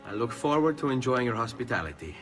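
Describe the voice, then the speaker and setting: A man's voice: medium pitch, sing-song, fast, music in the background, lightly acted. A man playing a bold, gallant young Italian Renaissance adventurer with an Italian accent in a video game. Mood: charming, confident.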